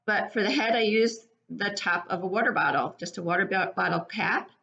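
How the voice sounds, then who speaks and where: Gravelly older woman teaching. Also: medium pitch, a little fast, sharp tone. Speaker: preschool educator. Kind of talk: explaining a children's craft. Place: living room at home, indoors. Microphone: webcam at about arm's length. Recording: dull webcam sound.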